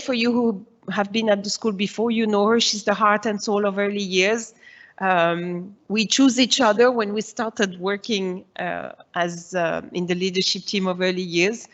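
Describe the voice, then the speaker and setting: A woman in her forties from Switzerland gives a presentation to parents as the head of her school's early years. Her voice sits mid-range, and she explains each point patiently with many "uh" and "um" pauses. The sound is slightly dull and muffled. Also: medium pitch, steady tone. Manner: explanatory, reassuring, with frequent "uh" and "um".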